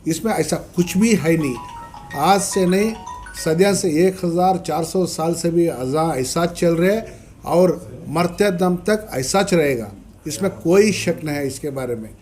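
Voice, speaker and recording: A man with a South Indian Dakhni Urdu accent giving a press statement as a spokesman, explaining haltingly and repeating himself with English terms mixed in. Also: a little high, darkish tone, soft background music. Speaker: party minority-wing official, spokesman. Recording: noisy press meet recording.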